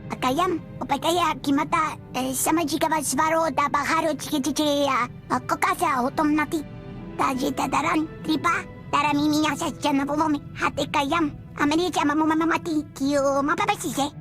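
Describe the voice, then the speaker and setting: A woman's voice: high voice, steady tone, a bit bright, faint music behind. A woman voicing a small furry female alien warrior who babbles in an alien tongue for a video game. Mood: chattering. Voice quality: chattering alien gibberish speech. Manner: babbling, rapid singsong syllables. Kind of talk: video game cutscene acting.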